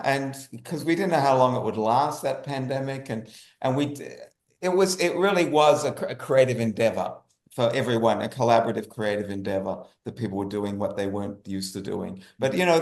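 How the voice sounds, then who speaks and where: A man's voice: mid-range voice, expressive, moderate pace. A man answering casually as an interview guest, repeating phrases for emphasis. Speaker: guest, writer. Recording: echoey room.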